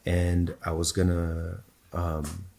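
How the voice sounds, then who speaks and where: A man whose first language is Spanish, a podcast guest thoughtfully telling his own story in a measured, explanatory way. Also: low voice, completely flat delivery, gravelly, a little mellow, a little hiss or hum. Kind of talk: reflective personal storytelling in conversation.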